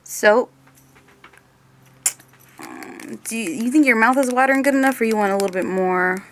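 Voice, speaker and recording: A woman's voice: on the high side, normal speed, a little hiss or hum. A woman doing a one-on-one ASMR role-play as an examiner, hesitant and muttering to herself, drifting between normal voice and whispery murmur.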